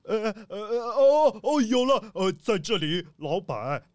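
An actor playing a fussy, flustered, deferential butler in a children's audio drama, stammering and formal. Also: male voice, sits high, big pitch swings, a little slow, no room sound, clean studio sound. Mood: flustered, servile.

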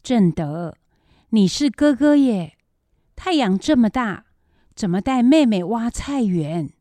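An elderly, caring grandmother played by a woman in a children's radio drama. She takes her time over her words in a mellow voice. She fusses over and chides those she looks after. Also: a little high, lively intonation, takes it slowly, dry recording. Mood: fussing, scolding. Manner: fussing, chiding.

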